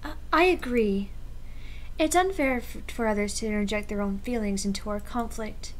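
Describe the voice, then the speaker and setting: A woman voicing a prim, shy high-school girl poet in a visual novel, formal in speech and stammering when flustered. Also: normal speed, lightly acted, rough recording. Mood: indignant. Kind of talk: visual novel voice-over read aloud.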